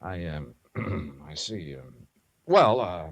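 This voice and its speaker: An actor playing a skeptical American insurance investigator in a 1950s radio drama, with dry, wry delivery. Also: male voice, low voice, sing-song, slow, exaggerated voice. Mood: doubtful. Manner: dry, wry, hesitant when doubtful. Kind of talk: 1950s radio drama, hard-boiled narration. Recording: old radio broadcast, faint music.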